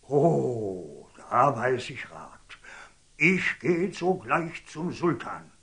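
Husky, swooping fanatical churchman. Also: medium pitch, very animated, extremely slow, fairly bright tone, exaggerated voice. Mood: outraged. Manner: pompous, declamatory, emphatic. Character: zealous, scheming, fanatical old churchman, shifting from outrage to fawning. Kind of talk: theatrical German radio drama acting of classic stage verse.

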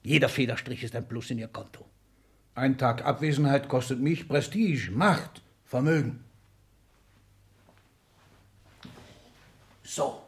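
An actor playing an ailing, power-hungry old tycoon and father in a 1960s German radio play, declamatory and commanding, moving between whispers and shouts. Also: mid-range voice, moderate pace, natural voice. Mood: bitter ranting. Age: older.

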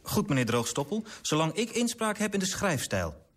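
An actor playing an earnest young clerk and writer who narrates in a literary radio drama. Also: male voice, a little high, big pitch swings, moderate pace, husky. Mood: earnest reporting.

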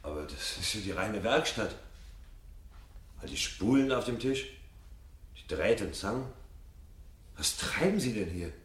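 A man's voice: on the low side, sing-song, a little slow, pauses often. An actor playing a troubled, earnest fireman with a blunt manner in a 1970s German radio play. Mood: curious, subdued. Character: troubled, forceful male fireman seeking guidance.

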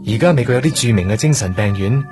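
A man's voice: fairly low pitch, lively intonation, fast talker, lightly acted. An actor playing an odd, melancholy young man in a radio drama, explaining things earnestly and a little awkwardly in Hong Kong Cantonese. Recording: radio drama with loud music underneath. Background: music.

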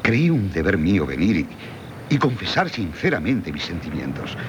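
Very deep male voice, wide intonation, Castilian Spanish, earnest middle-aged suitor, formal radio drama, rumbling noise. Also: big pitch swings, normal speed. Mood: earnest, ardent. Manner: formal, solemn. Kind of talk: 1970s Spanish radio theatre acting. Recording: old radio broadcast, low rumbling noise.

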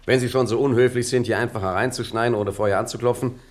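An actor playing an unflappable gangster in a German radio comedy, dryly insolent and laid-back. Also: male voice, mid-range voice, fairly even intonation, fast, lightly acted. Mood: calm, insolent.

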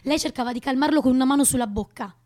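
A woman's voice: high-pitched, very fast, a little mellow, lightly acted. An actress playing an easygoing, teasing young mother in a naturalistic radio drama. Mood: amused mimicry. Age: young adult.

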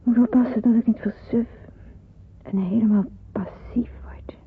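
An actress playing an earnest, devout young woman in wartime hiding in a radio drama, with a husky, breathy voice. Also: a little high, steady tone, a little slow. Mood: reflective. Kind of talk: radio drama acting with narrated monologue. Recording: muffled, phone-like radio play recording.

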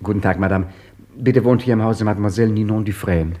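A man plays a dogged, earnest caretaker in a vintage radio drama. His voice is low and husky, and he speaks with a formal, wry politeness. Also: fast, mellow, natural voice. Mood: polite. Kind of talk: 1950s radio drama acting and first-person narration.

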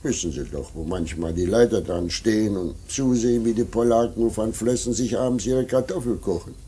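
A man playing a humble, talkative old servant in a radio drama, husky. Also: on the low side, normal speed, mellow. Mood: rambling.

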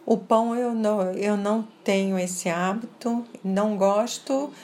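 A woman from France with a slight French accent, answering interview questions in a measured way, stressing single words and often checking in with "tá?". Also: medium pitch, steady tone, darkish tone. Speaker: interview guest. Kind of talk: casual interview conversation.

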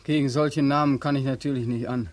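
An actor playing a bitter, haggard young war veteran in a sardonic, impassioned way, in 1940s German radio drama style. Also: male voice, mid-range voice, fairly even intonation, a little fast, close to an everyday voice. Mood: bitter irony. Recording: vintage 1940s radio recording.

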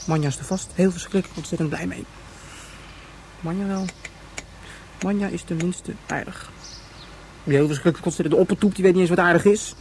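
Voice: medium pitch, fairly even intonation, brisk, pauses often, a little mellow, a little hiss or hum, close to an everyday voice. An actor in a radio play playing a petulant, chattering child who speaks emphatically and childishly. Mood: indignant, sulky. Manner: childish, emphatic, repeats pet intensifiers. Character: petulant, chattering child, hot-tempered and self-important.

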